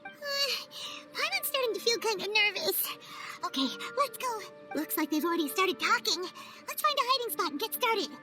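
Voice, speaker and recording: A woman voicing a tiny, excitable, naive childlike fairy companion in an animated video game, with a squeaky voice. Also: moderate pace. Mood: frank, nervous. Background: loud music.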